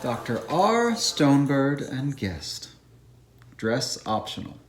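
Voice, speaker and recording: Urbane, self-satisfied academic bachelor acted by a man, mid-pitched and musing, audio drama. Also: very animated, slow, soft background music. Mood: wry musing.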